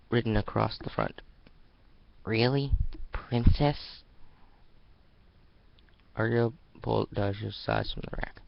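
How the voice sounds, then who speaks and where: Slow, low, very creaky man narrating. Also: dull audio. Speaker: amateur reader. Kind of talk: dramatic story narration.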